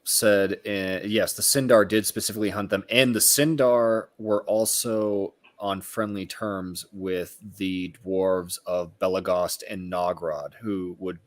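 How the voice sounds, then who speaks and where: A man's voice: low voice, fairly even intonation, unhurried, few pauses. A man co-hosting a casual book discussion, adding informed, precise side notes on details. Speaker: podcast co-host.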